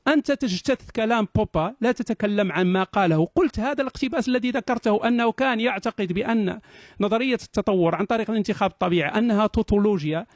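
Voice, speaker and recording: High-pitched, fast-talking man arguing. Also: no room sound. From Morocco. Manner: argumentative, protesting, sarcastic. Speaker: debater. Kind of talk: heated live debate.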